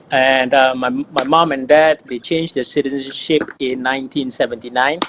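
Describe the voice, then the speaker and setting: A man's voice: medium pitch, fairly even intonation, moderate pace, a bit bright, slight background noise, poor audio. A man in his forties from Malaysia, a guest in an interview, talking in a thoughtful way with many fillers and repeated phrases. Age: 40s. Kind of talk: casual interview.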